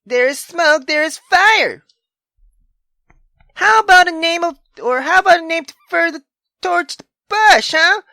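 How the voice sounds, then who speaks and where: A jealous, drunken wife in a visual novel, played by a woman, high and piercingly bright. She is furious and sarcastic, throwing accusations in a shrill voice. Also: dry recording, clean studio sound, exaggerated voice. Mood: sarcastic, accusing.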